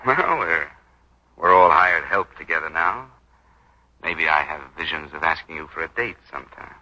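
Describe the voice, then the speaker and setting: An actor playing a wisecracking, hard-boiled bodyguard with a flippant, wry manner in a 1940s radio drama. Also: male voice, a bass voice, expressive, moderate pace, bright, forceful tone, exaggerated voice. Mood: wry, flirtatious. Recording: dull, lo-fi 1940s radio recording.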